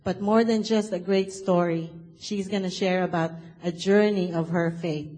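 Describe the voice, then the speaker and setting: A woman with a Filipino accent and a slightly low voice introduces a guest at a church service. She speaks with earnest enthusiasm and warm respect for her friends. Her tone is formal but heartfelt. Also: fairly even intonation, normal speed. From Philippines. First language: Tagalog. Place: church sanctuary.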